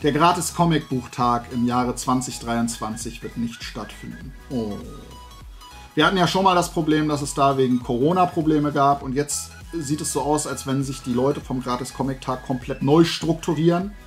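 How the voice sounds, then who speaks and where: A man's voice: mid-range voice, moderate pace, soft background music. A middle-aged man hosting a show, chatting casually and colloquially to camera in a rambling way. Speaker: host of a toy and comics show. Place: indoor room lined with collectibles.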